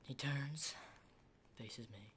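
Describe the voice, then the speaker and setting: A man playing an unsettling, lonely, hardened apocalypse survivor in an ASMR roleplay, speaking in a halting, breathy whisper and soft voice. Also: mid-range voice, slight background noise. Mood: haunted, storytelling. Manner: hushed, halting, repeats words, mild swearing.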